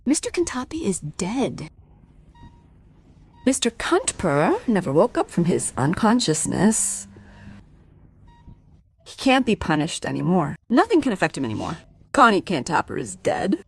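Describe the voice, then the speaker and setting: An actress in a radio play as a distressed married woman confronting a man, husky and breathy, with halting, dramatic pauses. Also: mid-range voice, wildly swooping pitch, full character voice. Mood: brooding, anguished.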